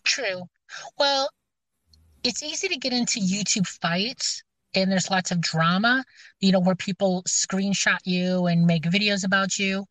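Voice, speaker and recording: A woman's voice: mid-range voice, unhurried, fairly bright tone, no room sound. A woman with a scratchy voice chatting casually, rambling and hesitant with many fillers and restarts. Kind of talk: casual chat. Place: outdoors, near her car.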